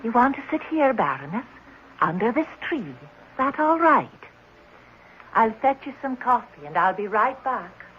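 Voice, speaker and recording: A woman playing a brisk, attentive sanitarium attendant in a 1970s radio drama, solicitous but firm. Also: fairly high pitch, big pitch swings. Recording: dull, slightly noisy 1970s radio recording.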